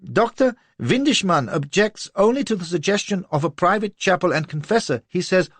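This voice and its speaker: A man voicing a timid little male church official who pleads in a high, squealing, frantic voice. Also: very animated, normal speed, dry recording, full character voice. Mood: pleading. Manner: frantic and whimpering.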